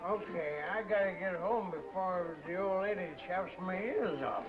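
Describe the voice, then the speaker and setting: A man's voice: high voice, normal speed, lightly acted. A man playing a tipsy, genial, muddled barfly in a 1950 film noir. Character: tipsy, muddled, cheerful henpecked male barfly. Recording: old film soundtrack with loud music.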